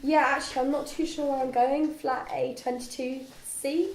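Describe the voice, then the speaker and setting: Young woman as friendly new student for film read-through, casual, fairly high voice, roomy sound. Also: flat delivery, moderate pace. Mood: friendly, polite. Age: young adult. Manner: polite, casual, chatty. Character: friendly, excited eighteen-year-old female new student. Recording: echoey room.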